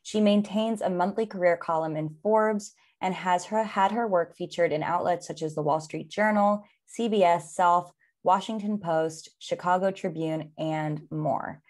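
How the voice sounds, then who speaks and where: A woman's voice: fairly low pitch, unhurried, few pauses, a little mellow. Eager woman podcast host. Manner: eager, enthusiastic questioning. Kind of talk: interview podcast.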